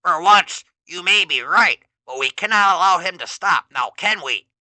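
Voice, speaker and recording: A man's voice: high-pitched, lively intonation, moderate pace, sharp tone, no room sound, voice pushed up, full character voice. A man voicing a cruel, gloating male villain who cackles and barks orders. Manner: cackling, scornful barking orders. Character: evil scheming male overlord, cruel and gloating.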